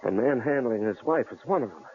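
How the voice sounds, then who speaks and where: An actor playing a protective, stubborn husband in an old radio drama, earnest and indignant. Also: male voice, medium pitch, lively intonation, quick pace, natural voice. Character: protective middle-aged husband, stubborn and brave. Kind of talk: 1940s radio acting. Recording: tinny old radio broadcast with audible hiss.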